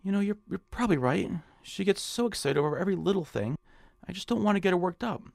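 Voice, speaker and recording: An actor playing a nervous, hapless hypochondriac in an audio drama, fretful and stammering. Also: male voice, sits high, animated, quick pace, vocal fry, darkish tone, dry recording, studio quality. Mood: eager, excited. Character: nervous, whiny nebbish hypochondriac man, eager and hapless. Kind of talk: audio drama acting in old-time radio suspense style.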